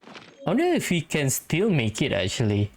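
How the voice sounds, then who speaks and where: A man's voice: on the high side, wildly swooping pitch, moderate pace. A man giving casual gameplay commentary, musing aloud in a chatty way to his viewers. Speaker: gaming streamer.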